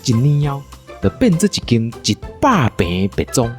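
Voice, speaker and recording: A woman's voice: a bass voice, sing-song, normal speed, darkish tone, soft background music. A woman with a Taiwanese accent hosting and narrating a playful scripted story. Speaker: podcast host and storyteller.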